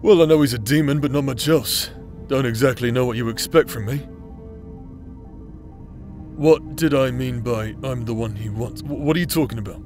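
A man playing a foul-mouthed, prickly injured bastard warrior in a roleplay audio drama, gruff and sarcastic. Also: medium pitch, normal speed, over music, lightly acted. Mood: guarded.

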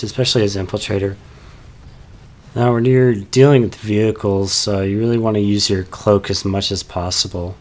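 A young man calmly explaining his tactics over game footage, sounding a little stuffy from a cold, with frequent "uh" fillers. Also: fairly low pitch. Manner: calm, explanatory, many "uh" fillers. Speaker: gameplay commentator.

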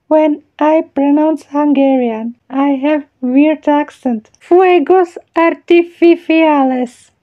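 A woman with hosting a casual vlog, slowly and hesitantly sounding out foreign words, on a dull lo-fi mic. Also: sits high. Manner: slow, careful, hesitant pronunciation of foreign words. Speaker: channel host. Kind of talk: casual vlog reading word list.

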